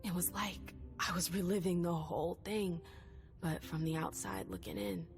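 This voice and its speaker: A woman acts out a female vampire in a video game cutscene, lowish and full of creaky fry. She is stubborn and skeptical with an old hurt, pressing coolly and insistently over prominent music. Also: steady tone, moderate pace, close to an everyday voice. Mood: troubled, hesitant. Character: stubborn, wounded female vampire, probing her sire. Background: background music.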